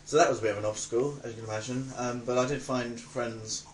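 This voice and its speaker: A man's voice: mid-range voice, steady tone, quick pace, distant mic. A nineteen-year-old man with a southern English accent, talking informally and a little hesitantly in an interview. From Reading, England. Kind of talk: casual documentary interview. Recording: roomy echo, faint background noise.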